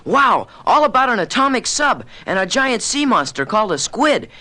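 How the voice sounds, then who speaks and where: Eager adventurous boy, very high boyish voice, lively swings, wide-eyed cartoon adventure delivery. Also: moderate pace, heavily acted. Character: eager, adventurous young boy, scientist's son. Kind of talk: cartoon adventure audio drama acting.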